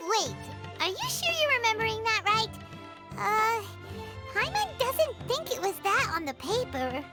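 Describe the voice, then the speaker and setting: A tiny, excitable fairy companion from a video game, with an extremely high childlike voice. The chatter swings up and down with nervous energy, over loud music. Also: female voice, a little slow, heavily acted. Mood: curious, puzzled.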